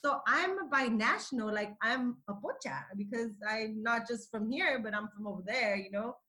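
A woman's voice: on the high side, normal speed, bright, forceful tone. A woman giving a candid personal account in English with Spanish mixed in, speaking emphatically. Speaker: guest sharing her view. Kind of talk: recorded personal testimony. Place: Tijuana.

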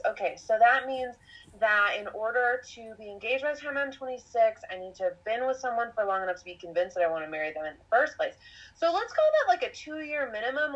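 Podcast host, woman, late twenties, rambly confessional chat on a slightly dull lo-fi track. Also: a little high. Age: 20s. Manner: rambling, self-reflective, laughs often. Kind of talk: casual conversational storytelling.

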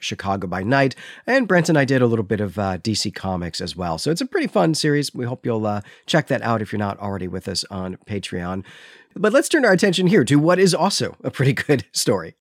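A man hosting a literary podcast, explaining things in a measured, conversational way. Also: on the low side, no echo, clean studio sound. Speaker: podcast host. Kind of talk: literary podcast discussion and recap.

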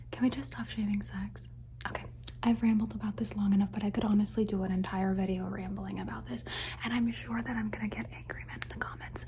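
A young woman whispers through a rambling ASMR question-and-answer session recorded on a phone, her voice mellow and dark. She trails off into soft repeated words as triggers, with a faint hiss underneath. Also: fairly high pitch. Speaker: ASMR creator.

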